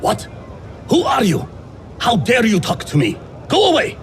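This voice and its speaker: A man's voice: extremely high pitch, huge pitch swings, a little slow, slight background noise, exaggerated voice. An actor playing a haughty, greedy male merchant who talks in a contemptuous, irritable way. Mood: irritated.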